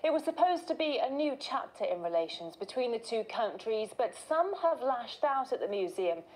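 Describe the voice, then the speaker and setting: A woman presenting a scripted news report in an even, formal newsreading manner. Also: a little high, normal speed, low-quality recording.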